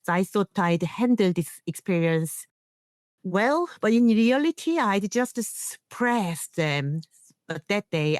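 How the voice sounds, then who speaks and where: A woman's voice: lowish voice, dry recording. A middle-aged woman, an English speaker, giving an earnest personal account as an interview guest. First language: not English. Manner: earnest, non-native phrasing. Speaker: guest, internationally trained nurse and leader.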